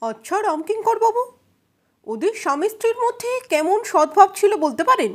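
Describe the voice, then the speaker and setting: A woman's voice: very high-pitched, very animated, a little fast, pitched above the performer's own voice. A woman voicing a probing male defence advocate in an audio story, questioning witnesses in a formal, insistent, pointed way. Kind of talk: dramatized courtroom questioning.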